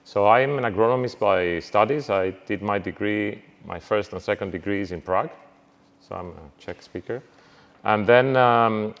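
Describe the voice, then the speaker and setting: A middle-aged man giving a university lecture, halting, with frequent fillers and restarts. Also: on the low side. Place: lecture hall. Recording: reverberant lecture hall.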